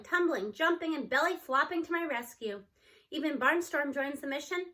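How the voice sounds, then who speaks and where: A woman reads a children's novel aloud. Her voice sits high and has a bright, forward edge. The delivery is expressive storybook narration.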